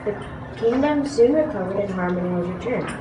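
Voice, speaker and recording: A woman's voice: medium pitch, animated, a little fast. A female performer reading a part in an amateur readers theatre play. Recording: noisy echoey room, distant mic.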